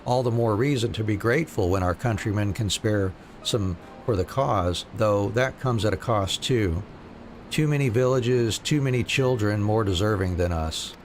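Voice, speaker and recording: A man voicing a weary, earnest resistance quartermaster in a fantasy game, measured and a little formal. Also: lowish voice, a little hiss or hum, natural voice. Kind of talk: reading fantasy game dialogue aloud.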